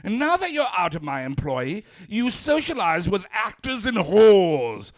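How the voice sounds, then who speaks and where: A man's voice: high voice, very animated, measured pace. An actor playing a stuffy, affronted male magazine publisher in a comedic radio play, prim and politely insulting.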